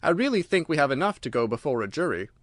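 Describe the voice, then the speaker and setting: A man voicing an earnest, confident police inspector in an audiobook, courteous and formal, with a brisk, assertive manner. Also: fairly high pitch, sing-song, no room sound, studio quality. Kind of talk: audiobook character dialogue.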